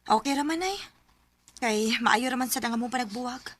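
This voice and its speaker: A polite, high-voiced schoolgirl. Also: moderate pace, dry recording. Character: teenage schoolgirl, calm, polite. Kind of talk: radio drama acting. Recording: loud cricket ambience behind. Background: crickets and insects.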